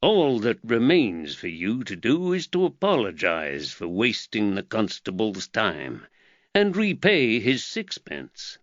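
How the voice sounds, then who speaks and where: A man voicing a calm, soft-spoken older foreign shopkeeper with clear diction. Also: fairly low pitch, slow, dry recording, muffled recording, exaggerated voice. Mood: quiet.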